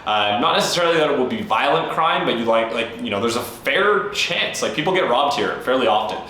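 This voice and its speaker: A man's voice: mid-range voice, expressive, brisk, pushed and bright. A young Canadian man talking casually and frankly to camera as a travel vlogger, with lots of fillers. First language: English. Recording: very echoey room with faint ambient noise.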